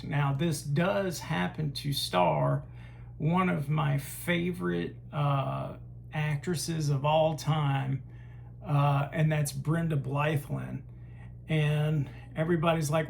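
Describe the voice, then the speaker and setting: A man hosting a casual film collection review, talking haltingly with frequent pauses and fillers. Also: on the high side, gravelly, a little hiss or hum. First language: English. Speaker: host.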